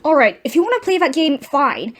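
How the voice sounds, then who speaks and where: A woman's voice: sits high, big pitch swings, fairly quick. A young woman playing an anxious, exasperated twenty-year-old reluctant guest in a radio drama, flustered and defensive.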